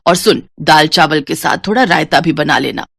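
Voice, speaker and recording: Casting: woman as older, grumbling mother-in-law, sarcastic and scolding, lowered pitch, cartoon morality tale. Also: moderate pace, vocal fry, fairly bright tone, breathy edge, full character voice. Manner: nagging, sarcastic. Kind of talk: Hindi moral story cartoon voice acting.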